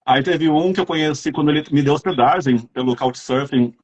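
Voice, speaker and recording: A man's voice: on the high side, a little fast. Dull-sounding Brazilian man chatting casually. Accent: Brazilian Portuguese. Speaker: interviewer. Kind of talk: casual chat, rambling anecdotes.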